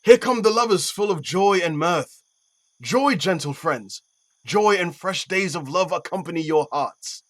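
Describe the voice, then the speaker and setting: A man's voice: high voice, expressive, no echo. Stately, declamatory duke, played by a man, lightish voice. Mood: genial, expectant. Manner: declamatory, measured, regal. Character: Theseus, stately sceptical male Athenian duke. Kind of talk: Shakespearean audio play acting.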